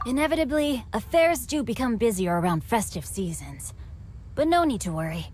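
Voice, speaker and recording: A woman's voice: fairly high pitch, sing-song, normal speed, a little mellow. A woman voicing an eloquent, playful young noble swordsman in a game cutscene, formal and teasing.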